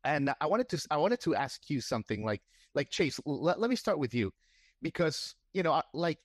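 A man's voice: on the high side, sing-song, a little fast, dry recording, clean studio sound. A man hosting a podcast interview, asking rambling questions full of restarts and fillers. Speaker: podcast host. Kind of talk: casual interview.

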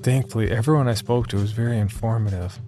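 A man performing scripted first-person horror narration as an uneasy young man, in a measured, confiding way with deliberate pauses. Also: fairly low pitch, natural voice. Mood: wary, confiding. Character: uneasy young man recounting his sleep disorder. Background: background music.

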